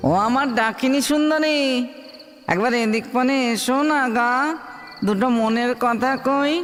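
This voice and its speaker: A man's voice: very high voice, measured pace, fairly bright tone. A man playing a lovesick, pleading ghost in a hall. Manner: pleading, wheedling. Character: lovesick henpecked male ghost courting a shy witch. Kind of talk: theatrical Bengali comic audio drama. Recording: long hall reverb, music underneath.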